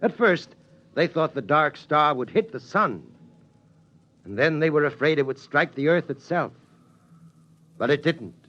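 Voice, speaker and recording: A man playing a weary, steady father who tells his family how the world ended, in slow, solemn 1950s radio drama style. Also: fairly high pitch. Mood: ominous. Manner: slow, solemn storytelling cadence. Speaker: father telling the family story. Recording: dull 1950s radio broadcast sound.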